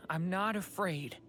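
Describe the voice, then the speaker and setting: A gentle young dragon in human form from an anime fantasy game. The voice is lowish and unhurried, with an earnest, boyish softness. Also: female voice. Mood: lost, wavering.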